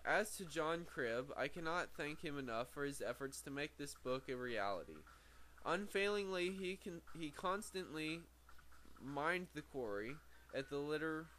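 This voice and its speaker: Halting man reading a book aloud. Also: on the high side, bright, forceful tone. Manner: halting, stumbles over words and corrects himself. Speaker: amateur book reader. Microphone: very sensitive microphone. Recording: faint background noise, sensitive microphone.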